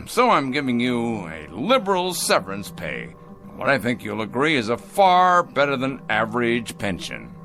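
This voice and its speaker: An actor playing a cold, pompous factory boss in a radio drama, with a theatrical, condescending manner. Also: male voice, mid-range voice, huge pitch swings, a little slow, gravelly, bright, forceful tone, soft background music, exaggerated voice.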